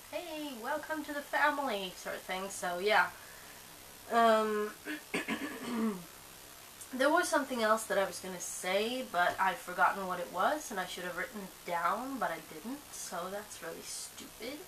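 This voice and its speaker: A woman's voice: mid-range voice, a bit bright, low-quality recording. A young woman whose first language is Swedish, chatting casually to camera, rambling with lots of fillers and restarts, a bit sleepy. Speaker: vlogger. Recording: faint hiss.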